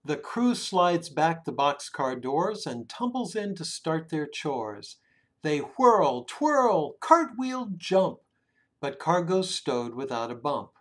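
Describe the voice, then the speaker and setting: A man reads a children's picture book aloud expressively, drawing out words and hushing the ends of phrases. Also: fairly high pitch, big pitch swings. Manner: dramatic, with drawn-out words and hushed phrase endings. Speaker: children's story reader. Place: small room indoors at home.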